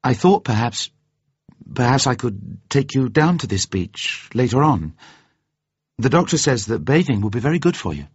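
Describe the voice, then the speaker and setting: A man voicing a guilt-ridden young woman in an audiobook, soft, hesitant and apologetic. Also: lowish voice, expressive, brisk, dry recording, pitched above the performer's own voice. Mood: brave, bright. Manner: hesitant, muffled, often hushed to a breathy whisper.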